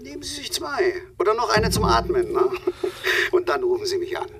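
An actor playing a smug middle-aged boss in a radio drama, measured, condescending and politely needling. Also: male voice, high-pitched, wildly swooping pitch, a crowd in the background, heavily acted. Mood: smugly needling. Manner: measured, hesitant openings, condescending. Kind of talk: naturalistic radio drama dialogue. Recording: noisy ambience behind voice.

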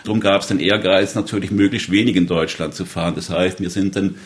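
Low-voiced middle-aged man from southern Germany. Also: little pitch movement, a little fast. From southern Baden, Germany. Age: 50s. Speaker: interviewee recalling rail travels. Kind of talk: conversational storytelling.

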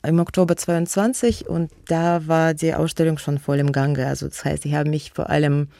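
A woman's voice: sits low, level tone, moderate pace, creaky, dark tone, no room sound, clean studio sound. A woman with a Russian accent, a native Russian speaker, answering radio interview questions about her museum work in a hesitant way, with many fillers and restarts. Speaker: museum curator and guest.

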